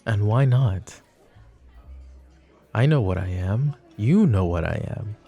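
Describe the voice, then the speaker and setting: Guy doing a mafia boss for asmr roleplay, gravelly and kinda dark mellow voice, possessive, teasing, dangerous. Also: lowish voice, lively intonation, slow, faint music behind, heavily acted. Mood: coolly persuasive. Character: flirty, possessive male mafia boss, protective and menacing. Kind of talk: intimate roleplay ASMR acting.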